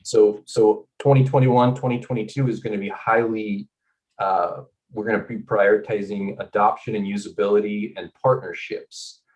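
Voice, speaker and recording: A man from Northern California, founder and core developer, talking about his project in a halting way that repeats words. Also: on the low side, steady tone, darkish tone, low-quality recording. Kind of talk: casual presentation.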